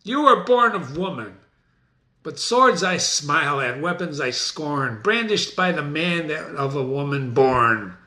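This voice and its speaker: A man's voice: on the high side, expressive, a little slow, sharp tone. A man playing Macbeth, a proud, defiant, doomed warrior king, declaiming archaic verse. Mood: scornful defiant.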